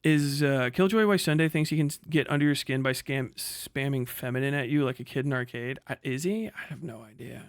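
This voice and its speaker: A man giving casual, sweary livestream commentary in an exasperated, sarcastic, animated manner. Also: mid-range voice, brisk, few pauses, no room sound. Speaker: livestream host reacting to a recorded conversation.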